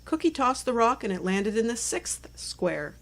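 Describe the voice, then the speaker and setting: A woman reading a children's chapter book aloud as narrator, in clear, simple phrases meant for young listeners. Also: on the high side, big pitch swings, normal speed. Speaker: storybook narrator.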